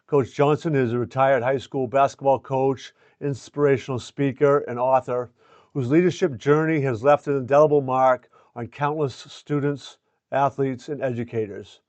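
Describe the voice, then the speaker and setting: An older man speaking as a show host and coach, with an earnest, deliberate way of talking. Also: medium pitch, fairly even intonation, a little mellow, no room sound. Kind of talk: scripted promo and introduction, then casual interviewing.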